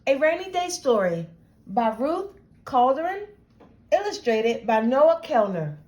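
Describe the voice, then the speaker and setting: A woman reading a picture book aloud to children as host, with deliberate, dramatic phrasing. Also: high-pitched, sing-song, darkish tone, low-quality recording.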